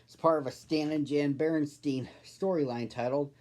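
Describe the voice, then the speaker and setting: A man's voice: on the high side, steady tone, normal speed, a little mellow. A man in his thirties hosting and reading a storybook aloud for children. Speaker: storybook reader, host.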